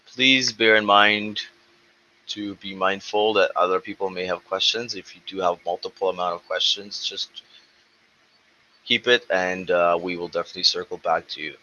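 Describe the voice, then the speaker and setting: A man with a low, bright, forceful voice runs an online question session in a brisk, businesslike way. The audio is dull and lo-fi, with a faint hiss of noise behind him. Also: steady tone. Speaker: host running a community Q&A call. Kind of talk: moderating an online call.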